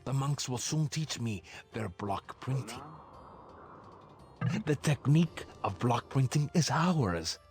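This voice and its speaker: A man's voice: mid-range voice, lively intonation, slow, soft background music. A man playing a studious, proud monk in a video game, with a formal, earnest delivery. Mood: proud, fervent. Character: studious monk proudly reporting his religious learning. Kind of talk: scripted video game voice acting.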